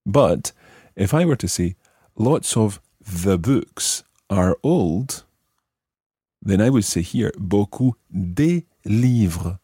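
A man with a low, clear voice teaches a language lesson in a deliberate way. His tone is mellow and the recording is dry and close, as he moves between explanations and French examples. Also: lively intonation, dry recording.

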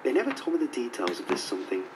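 A man telling a story about a diving job in a lively storytelling way. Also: mid-range voice, very fast. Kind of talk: recounting a story. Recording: distant, noisy playback.

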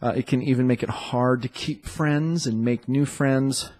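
A man hosting a dating podcast in a loose, chatty way, sounding congested and often pausing on fillers. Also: mid-range voice, fairly even intonation, a little mellow. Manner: loose and chatty, with many fillers and self-corrections. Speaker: podcast host and dating coach. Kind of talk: casual solo podcast talk.

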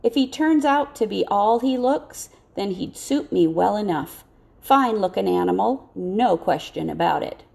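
A woman's voice: mid-range voice, expressive, measured pace, creaky, a little mellow. Woman voicing a genteel, self-assured army officer. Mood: approving.

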